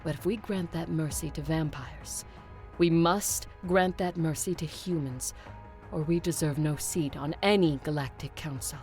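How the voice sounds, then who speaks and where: A woman playing a fed-up, forceful vampire conqueror leader arguing her case in an audio drama. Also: sits low, unhurried. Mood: resolute. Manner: forceful, emphatic oratory. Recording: music under the voice.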